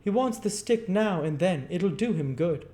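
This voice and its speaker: A smug gentleman of the storybook sort, put on by a male narrator, his voice lifted well up in pitch. He is callous and dismissive, scoffing at whoever he addresses. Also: expressive, moderate pace. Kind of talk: storybook character voice.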